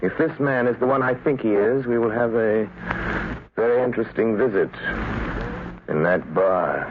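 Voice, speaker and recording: An actor playing a calm, commanding mind-reading crime fighter in a 1930s radio drama, speaking in a measured, deliberate, insinuating way. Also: male voice, lowish voice, natural voice. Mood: calm, resolute. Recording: scratchy narrow-band 1938 radio recording.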